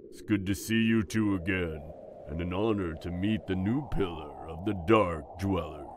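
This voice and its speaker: A man's voice: deep voice, normal speed, background noise, close to an everyday voice. A man playing a jovial, battle-seasoned dwarf king in a fantasy audio drama, speaking in a genial, regal manner. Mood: amused, hearty. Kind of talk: fantasy audio roleplay acting.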